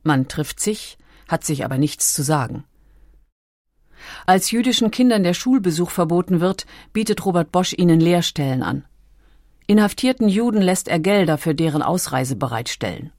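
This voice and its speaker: Female documentary narrator, deep and warm in tone, sober scripted read. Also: lively intonation, no room sound, clean studio sound. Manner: sober, measured.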